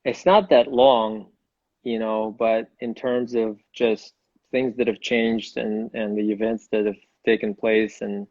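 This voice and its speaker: A man whose first language is Russian, hosting a casual online chat as an English teacher, friendly and halting with many restarts. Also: lowish voice, level tone, darkish tone, dry recording. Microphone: video call. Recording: dull, dry video-call audio.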